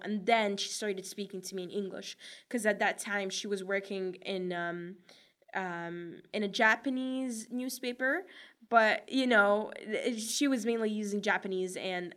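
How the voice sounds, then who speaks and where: A woman's voice: mid-range voice, fairly even intonation, unhurried, a bit bright. A young woman from Egypt whose first language is Arabic, chatting as an interview guest with lots of fillers and frequent laughs. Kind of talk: casual interview answers.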